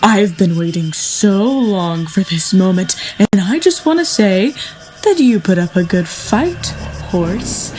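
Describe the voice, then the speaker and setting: A woman's voice: mid-range voice, animated, slow, a little mellow, slight background noise, low-quality recording. A woman playing a scheming, starving woman runaway in an audio drama. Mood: gloating. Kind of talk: live-streamed audio drama acting.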